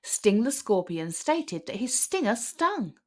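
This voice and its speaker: A woman narrating a children's picture book aloud as an expressive storyteller. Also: a little high, slow pace. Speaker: storybook reader.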